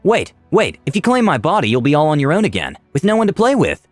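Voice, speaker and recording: An earnest male adventurer played by a man in a narrated fantasy tale, pitched up and animated. He speaks politely and coaxingly, pleading like someone thinking on his feet. The sound is dry. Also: quick pace, no echo, soft background music. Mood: desperate pleading.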